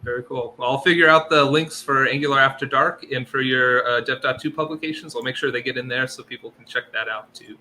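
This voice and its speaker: A man's voice: medium pitch, fairly quick, hardly any pauses, pushed and bright. A man hosting a casual tech podcast, upbeat and friendly, saying "y'all". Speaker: podcast host.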